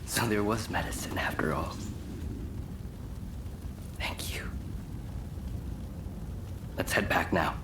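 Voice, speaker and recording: A man's voice: sits low, slow pace, pauses often, in a whisper. A man voicing a grave, stubborn and loyal ailing samurai swordsman in a dramatic video game cutscene. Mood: grieving, subdued.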